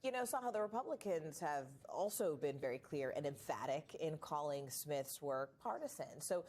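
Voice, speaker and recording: A woman anchoring a television news segment with a measured, polished delivery. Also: sits low, expressive, darkish tone.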